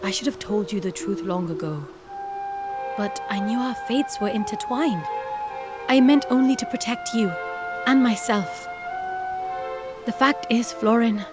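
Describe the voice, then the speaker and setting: A woman playing a sorrowful, devoted young woman in a video game, speaking formally and with deep feeling. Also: lively intonation, a little slow, long pauses, lightly acted. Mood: sorrowful, apologetic. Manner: formal, heartfelt. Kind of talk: video game cutscene voice acting. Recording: game music behind.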